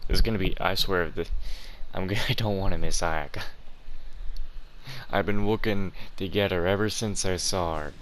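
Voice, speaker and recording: A man's voice: low voice, fairly even intonation, normal speed, pauses often, a little hiss or hum. A man giving casual gameplay commentary, rambling and muttering to himself as he plays. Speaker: gaming channel player. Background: game music.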